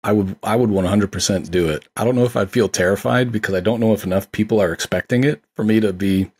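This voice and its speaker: A man with a lowish, rough-edged voice talks casually as a guest on a film podcast. He is enthusiastic and conversational, laying out his opinions freely. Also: quick pace, a little mellow.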